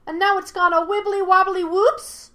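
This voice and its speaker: A woman voicing a mischievous, childlike monkey spirit in a story, high-pitched, petulant and mocking with a sing-song manner. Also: fairly even intonation, normal speed, fairly bright tone. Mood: mocking, accusing.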